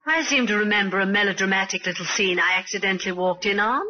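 An actress playing a theatrical lady with crisp diction in an old-time radio drama. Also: mid-range voice, fast talker, lightly acted. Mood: anxious. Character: earnest young wife and imperious grand stage actress. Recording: dull old radio recording.

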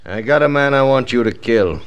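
A man's voice: mid-range voice, expressive, brisk, clear, resonant tone, dull audio, natural voice. An actor playing a domineering, menacing rancher in a 1960s western radio drama, blunt and folksy in his drawl. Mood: cold, boastful.

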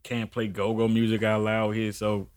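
A man with a fairly low voice chats as a recurring podcast guest. His talk is informal and slangy. The sound is dry, with very little room on it. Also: completely flat delivery, normal speed, darkish tone, smooth, dry recording. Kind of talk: casual chat.

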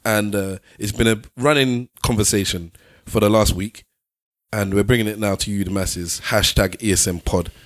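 Emphatic low-voiced man. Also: normal speed, no echo, clean studio sound. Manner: emphatic, argumentative, animated storyteller. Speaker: podcast co-host. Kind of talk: casual banter and storytelling.

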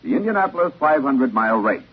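An actor playing a formal radio station announcer, reading in 1950s broadcast style. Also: male voice, mid-range voice, sing-song, quick pace, breathy edge. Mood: measured, formal. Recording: tinny, muffled 1950s radio recording.

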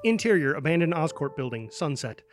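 A man narrates stage directions from a script. His voice sits a little high for a man, with faint music underneath. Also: big pitch swings, a little fast.

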